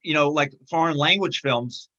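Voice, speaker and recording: A man speaking as a guest in a casual interview, talking haltingly with many fillers and restarts. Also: on the high side, highs cut off, a crowd in the background. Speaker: film distributor, interview guest.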